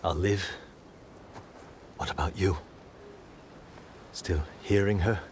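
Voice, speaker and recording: Weary warrior ally, deep voice, slow, terse, subdued, gruff but caring, video game drama. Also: male voice, fairly even intonation, slight background noise. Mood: weary concern.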